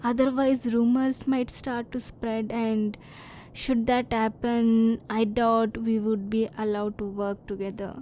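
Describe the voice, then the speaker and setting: A woman playing a curious, reserved alien scientist in an ASMR roleplay, speaking formally and precisely with measured restraint. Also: fairly high pitch, flat delivery, dark tone, slight background noise. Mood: quietly hopeful.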